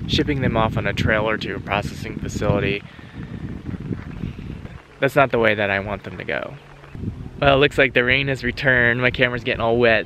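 A man in his thirties talks earnestly and thoughtfully to a camera held at arm's length. He is out in an open pasture, and faint outdoor noise runs behind his voice. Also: fairly low pitch. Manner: earnest, reflective, talking while walking outdoors. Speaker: homesteader vlogger. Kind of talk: casual vlog to camera.

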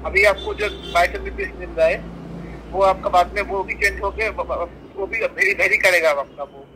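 A high-pitched man with a bright, sharp tone speaks over a phone speaker, with faint noise behind him. He is a customer care agent, polite and halting, repeating himself as he explains. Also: low-quality recording. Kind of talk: customer support call, troubleshooting.

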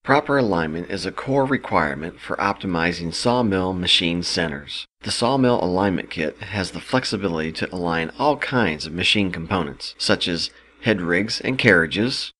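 A man reading a scripted instructional product narration in a measured, explanatory manner. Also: sits low, expressive, a bit bright.

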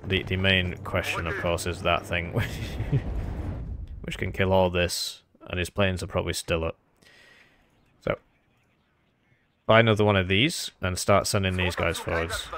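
A man's voice: low voice, gravelly. A man giving casual, rambling and self-deprecating commentary on his own strategy game as he plays.

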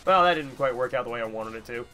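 A man's voice: medium pitch, quick pace, sharp tone, faint music behind. A young man with a stuffy nose giving casual, rambling, self-deprecating gameplay commentary. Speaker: gamer, let's player.

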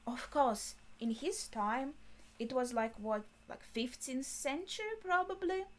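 A young woman speaks in a somewhat high voice at a slow pace. She comments casually, as a video host reacting, and pieces her thoughts together haltingly as she goes. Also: expressive, a little hiss or hum. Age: young adult. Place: small bedroom, indoors.

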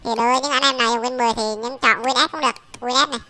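A man voicing a small baby pig through a pitch-shifting voice changer, giving an informal software tutorial. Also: fairly even intonation, rapid-fire, exaggerated voice. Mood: instructive. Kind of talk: informal screen-recorded tutorial.

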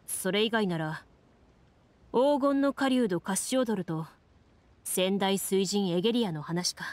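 A woman playing a composed, serious young duelist who tells lore in a measured, matter-of-fact way for a video game. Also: medium pitch, a little mellow, no room sound, natural voice. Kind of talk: scripted game dialogue and storytelling.

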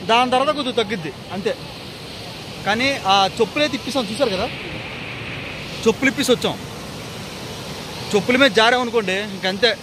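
A young man talks to his camera outdoors. He goes quickly and excitably through casual, slangy travel chat, with some background noise around him. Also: extremely high pitch. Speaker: travel vlogger. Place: outdoors on forest trail and by waterfalls.

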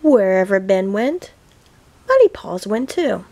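A woman reading a children's picture book aloud as a teacher would, with deliberate, expressive phrasing for young children. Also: mid-range voice. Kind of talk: scripted storybook read-aloud.

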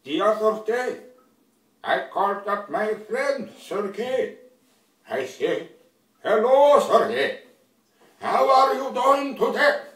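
A man doing a slow, solemn old patriot. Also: sits high, big pitch swings, a bit bright, exaggerated voice. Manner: slow, solemn, broken grammar. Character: nostalgic old male Russian patriot addressing children. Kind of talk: comedy monologue. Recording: echoey room, distant from mic.

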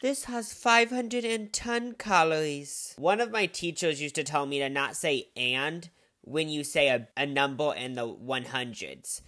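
A man's voice: fairly high pitch, lively intonation, a little slow, pushed and bright, slight background noise. A young man casually reviewing restaurant food on a handheld mic, playful and jokey. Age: young adult. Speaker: host. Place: small room, seated at a table.

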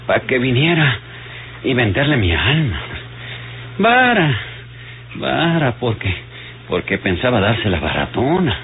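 An actor playing a bitter, sardonic dying old rogue in a radio serial, with a Mexican accent and a groaning, halting, rustic way of talking. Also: male voice, medium pitch. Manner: rustic, sardonic, colloquial speech. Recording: muffled, hissy old radio drama recording.

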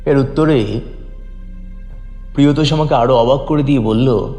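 A man's voice: medium pitch, normal speed, warm tone. A man reading a scripted first-person story as a dramatic narrator. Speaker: story reader. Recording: long reverb, faint music behind.

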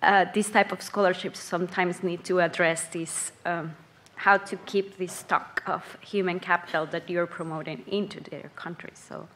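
A young woman from El Salvador asking a question from the floor of a lecture hall, halting and searching for words at an audience mic. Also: on the low side, steady tone, fairly bright tone, slight background noise. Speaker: audience member, PhD student. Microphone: audience mic on stand.

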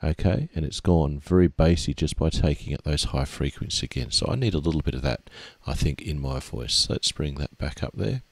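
Middle-aged man, very low gravelly voice, dry close mic, patient walkthrough teacher. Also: a little fast, few pauses, no echo. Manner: steady step-by-step explaining with fillers. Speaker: music tech teacher. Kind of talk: screen-recorded software tutorial.

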